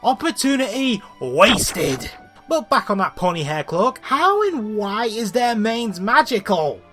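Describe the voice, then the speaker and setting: A woman's voice: mid-range voice, very animated, unhurried, a bit bright, soft background music. A woman hosting a scripted comedic review, blunt and sweary, with an exasperated, ranting manner. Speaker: host of a cartoon review show.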